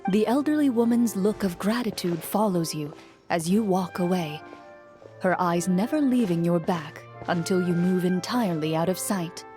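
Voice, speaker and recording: A woman playing a composed storyteller, narrating a fantasy game tale in a measured, descriptive way. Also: fairly low pitch, mellow, soft background music, close to an everyday voice. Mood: calm.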